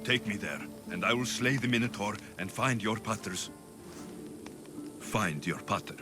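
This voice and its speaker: An actor playing a calm, sardonic, battle-hardened mercenary in a game cutscene. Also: male voice, fairly low pitch, a little slow. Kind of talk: video game cutscene acting. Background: game music.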